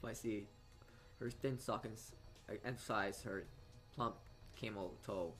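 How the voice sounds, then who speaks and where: A man's voice: fairly low pitch, a bit bright, faint music behind. A man reading a visual novel aloud as a let's-player, stumbling over lines and adding his own asides. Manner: halting reading with frequent stumbles. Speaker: video game let's-player.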